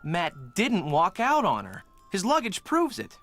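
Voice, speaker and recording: A man's voice: high voice, huge pitch swings, a little slow, fairly bright tone, faint music behind, exaggerated voice. An actor playing a frustrated, lovelorn young man in a video game, earnest and defensive. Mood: rueful.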